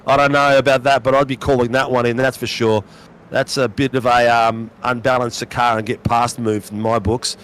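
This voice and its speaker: A man with a hoarse voice calling a sim race with excited, rapid play-by-play. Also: medium pitch, slight background noise, low-quality recording. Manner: fast, exclamatory, calls names rapidly. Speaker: race commentator.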